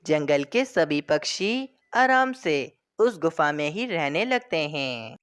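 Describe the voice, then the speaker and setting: Brief: woman narrator, low-ish, lively, slow, smooth and dry. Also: dry recording. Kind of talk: storybook narration.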